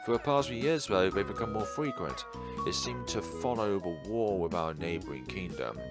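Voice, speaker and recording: A man's voice: sits low, animated, normal speed. Guy reading lines for a polite helpful fantasy town guide, up and down delivery, music behind. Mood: explaining. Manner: courteous, explanatory. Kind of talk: read-aloud visual novel dialogue. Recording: background music under voice.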